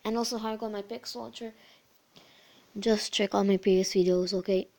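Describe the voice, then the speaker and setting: A host casually talking through a phone tutorial over a screen recording, her voice a bit croaky, often repeating words and filling with "so yeah". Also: medium pitch, steady tone, normal speed, mellow. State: slightly croaky voice. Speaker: channel host.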